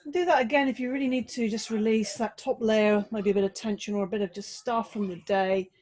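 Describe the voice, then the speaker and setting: A woman yoga teacher guiding a relaxation and body check-in, giving slow, gently coaxing instructions with pauses between phrases. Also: on the high side, steady tone.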